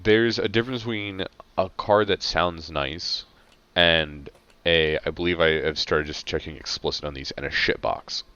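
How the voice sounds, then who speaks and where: A man co-hosting a podcast, talking in a laid-back, dry, halting way. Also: low-pitched, fairly even intonation, bright, forceful tone, clear, resonant tone, no echo. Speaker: podcast co-host. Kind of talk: casual chat. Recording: dull lo-fi mic sound.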